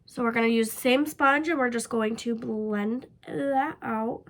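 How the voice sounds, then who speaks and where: Young woman, high voice, stuffy nasal cold, chatty makeup vlog, indoors at her vanity. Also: fairly even intonation, measured pace, heavy background noise. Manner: chatty, upbeat, frequent likes and ums. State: sick with a stuffy nose. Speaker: beauty vlogger. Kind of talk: casual makeup tutorial chat.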